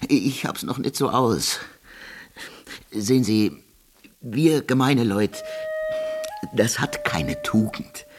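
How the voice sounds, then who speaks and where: An actor playing a poor, submissive common soldier in a radio drama, halting, deferential and plain-spoken. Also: male voice, mid-range voice, expressive, soft background music. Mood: humble, wistful. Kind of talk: German radio drama acting.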